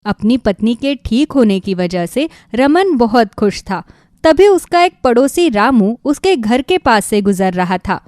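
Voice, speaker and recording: A woman narrating a scripted children's moral story in an expressive storytelling manner. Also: fairly high pitch, measured pace, no room sound, studio quality.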